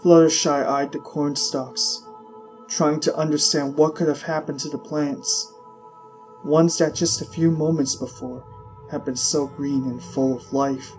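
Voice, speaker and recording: A man reading fiction aloud as a narrator, in an expressive, dramatic storytelling style. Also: on the high side, measured pace, faint music behind. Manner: expressive, literary storytelling delivery.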